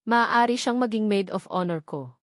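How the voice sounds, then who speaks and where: Gentle young bride-to-be, read by a female narrator. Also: mid-range voice, normal speed, dry recording, studio quality, natural voice. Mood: thoughtful.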